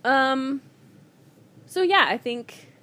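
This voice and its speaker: A woman's voice: high-pitched, lively intonation, very slow, fairly bright tone. A woman co-hosting a comedy podcast, speaking in a slangy, mock-serious way, in casual banter and comic dramatic reading. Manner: giggly, easily cracks up. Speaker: podcast co-host.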